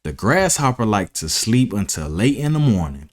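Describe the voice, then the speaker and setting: A man narrating a scripted children's story as a read-aloud, deliberate and expressive. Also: lowish voice. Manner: deliberate, expressive, enunciating for young listeners.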